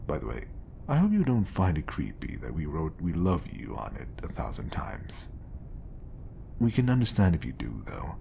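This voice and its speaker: Man acting as deep, gravelly, obsessive bodyguard. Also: huge pitch swings, moderate pace, warm tone, a little hiss or hum, exaggerated voice. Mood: sheepish. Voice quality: low, creaky. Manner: coaxing, intimate, slipping into whispers. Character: obsessive lovestruck male bodyguard masking devotion as professionalism. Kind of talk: audio roleplay acting addressed to the listener.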